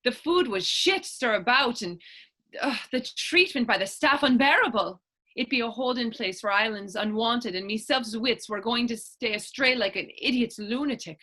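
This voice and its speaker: A woman acts out a plucky Irish teenage orphan on the stage. Her voice is fairly high and bright, with a forceful edge. She spins her tale proudly in lilting, old-fashioned Irish phrasing. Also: moderate pace. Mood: proud, earnest. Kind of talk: stage readers theatre acting in dialect.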